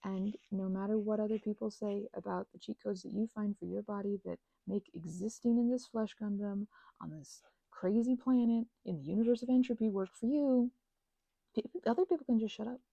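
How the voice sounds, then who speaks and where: Husky, creaky-voiced woman rambling casually. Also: mid-range voice, a little fast, mellow, no echo, rough recording. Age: 30s. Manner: emphatic, playful slangy rambling with quoted asides. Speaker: ASMR channel host. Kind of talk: casual rambling ASMR monologue.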